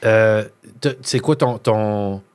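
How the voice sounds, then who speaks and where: A man's voice: on the low side, takes it slowly, darkish tone, clear, resonant tone. A man hosting a podcast, asking curious questions with some hesitation. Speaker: podcast host. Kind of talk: casual interview.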